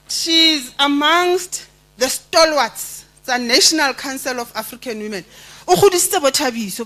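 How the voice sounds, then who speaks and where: Measured woman introducing speakers. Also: sits high, bright, forceful tone. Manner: measured, formal introductions mixing English and Sesotho. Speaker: programme director. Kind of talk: memorial service proceedings. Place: memorial service venue.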